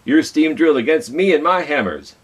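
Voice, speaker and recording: A man's voice: a little high, animated, moderate pace, slightly rough, pitched above the performer's own voice. A man voicing a mighty, confident folk hero of a storybook in a bold, plain-spoken manner. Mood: bold, challenging.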